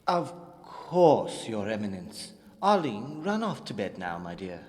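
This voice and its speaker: In a long-echoing hall, a man plays a well-mannered lord of a fantasy radio play. His formal, protective lines rise and fall in a lively way at a middling pitch. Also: measured pace. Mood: courteous, restrained. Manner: formal, courteous. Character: polite, protective young nobleman, concealing impatience. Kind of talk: fantasy audio drama acting. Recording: long stone-hall reverb.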